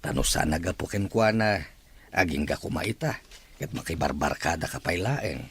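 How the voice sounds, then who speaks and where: A man with a Filipino accent and a rough, husky voice acting an affectionate older father in a radio drama. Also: low voice, lively intonation, moderate pace, exaggerated voice. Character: proud, affectionate older father of college student.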